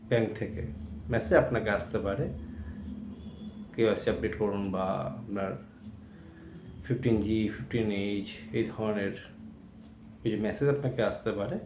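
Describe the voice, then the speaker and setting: A man with an Indian Bengali accent giving an informal, earnest public-awareness talk in a cautioning manner. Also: medium pitch. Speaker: video creator warning about fraud. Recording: echoey room with faint noise.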